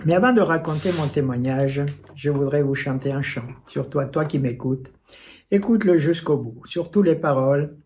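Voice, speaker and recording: A man's voice: a little high, normal speed, darkish tone. A man from southern Italy speaking personally and directly to listeners to introduce his testimony. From southern Italy, moved to eastern France in childhood or youth. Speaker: testimony giver and singer. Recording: faint background noise with clicks.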